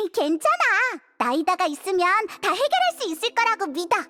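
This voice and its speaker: A woman's voice: very high-pitched, sing-song, normal speed, a bit bright, full character voice. A woman voicing a small, loyal, childlike companion creature in a video game, with a bubbly, eager manner. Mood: encouraging. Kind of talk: video game character dialogue.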